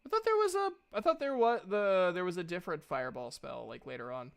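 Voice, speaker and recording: A man's voice: sits high, very animated, fast talker, vocal fry, clean tone. A man running a tabletop game, switching between casual rules chat and informal narration as game master.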